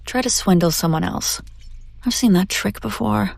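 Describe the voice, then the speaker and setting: A woman playing a haughty, wealthy demon buyer in a scripted audio roleplay, condescending and teasing with drawn-out theatrical delivery. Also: medium pitch, vocal fry, a little mellow, dry recording. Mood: skeptical, dismissive.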